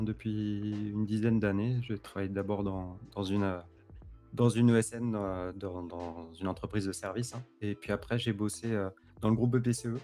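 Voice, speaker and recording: A low, mellow-voiced man in his thirties co-hosting a tech livestream. He talks in a relaxed, easygoing way, often repeating a word as he thinks, with faint music in the background. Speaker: co-host. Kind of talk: livestream panel discussion.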